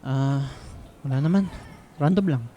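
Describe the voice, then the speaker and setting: A man playing a quiet, shy young student in a radio drama, hesitant and mild, with a Filipino accent. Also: medium pitch, unhurried, dull audio, lightly acted. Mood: sheepish, evasive.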